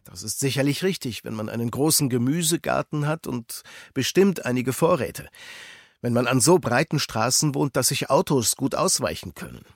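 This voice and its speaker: A polite, earnest man in a children's audio drama. His medium-pitched voice is measured and persuasive, recorded very dry. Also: expressive, no room sound. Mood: pleading, serious. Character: earnest, polite, persuasive everyman with bold ideas.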